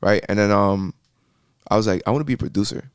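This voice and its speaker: A man's voice: lowish voice, fast talker, clean tone, dry recording, studio quality. A young man from Miami, a podcast guest, talking casually and rambling with lots of fillers. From Miami, Florida. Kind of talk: casual interview chat.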